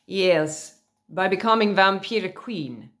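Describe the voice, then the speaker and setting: A woman's voice: medium pitch, slow pace, fairly bright tone. A woman voicing a mysterious, insistent male vampire emissary with a put-on Eastern European accent and a grandiose, commanding manner, in a storybook reading. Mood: solemn, commanding. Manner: grandiose, commanding, clipped grammar.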